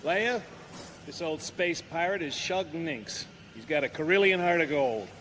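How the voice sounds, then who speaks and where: Cocky, roguish space smuggler. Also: male voice, a little high, lively intonation, measured pace, fairly bright tone, a little hiss or hum. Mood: cocky, easygoing. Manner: brash, wisecracking, slangy. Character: cocky, roguish male smuggler and starship pilot. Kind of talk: space-opera audio drama acting.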